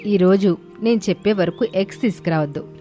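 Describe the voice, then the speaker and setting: A woman's voice: medium pitch, fairly quick, mellow, faint music behind, lightly acted. A woman as a blunt grocery shopkeeper. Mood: blunt refusal. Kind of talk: animated moral story dialogue.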